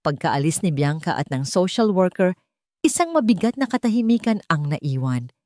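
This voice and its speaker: A woman's voice: lowish voice, animated, normal speed, dark tone, no room sound, clean studio sound. A woman narrating a scripted dramatic story as a storyteller.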